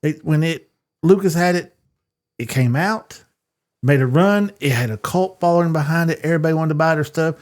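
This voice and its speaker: A man's voice: fairly high pitch, fairly quick, a little mellow. A man co-hosting a podcast, chatting casually in a blunt, colloquial way. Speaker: podcast co-host. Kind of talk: casual pop-culture chat.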